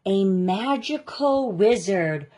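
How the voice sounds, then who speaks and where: A woman reading a children's picture book aloud, with a deliberate, expressive storytelling delivery. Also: medium pitch. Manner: slow, deliberate, expressive delivery of rhyming lines. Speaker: story reader.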